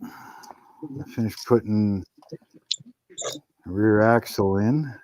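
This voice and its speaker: A man hosting a casual livestream from his workshop, talking in a slow, easygoing, rambling way about his projects. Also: lowish voice, a little mellow, dry recording, poor audio. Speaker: livestream host in a garage workshop.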